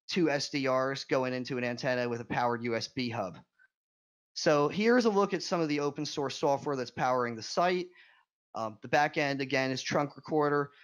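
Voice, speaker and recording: An American man presenting technical slides in an informal, explanatory way, as a speaker at a conference. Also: medium pitch.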